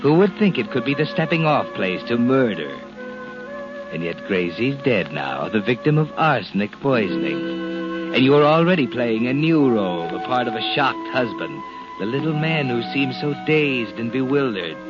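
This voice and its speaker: An actor playing a sinister, mocking, all-knowing narrator, insinuating and speaking straight to the culprit in 1940s radio suspense style. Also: male voice, medium pitch, very animated, normal speed, natural voice. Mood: sardonic. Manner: insinuating, addresses the culprit directly. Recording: muffled old radio recording. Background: prominent dramatic music.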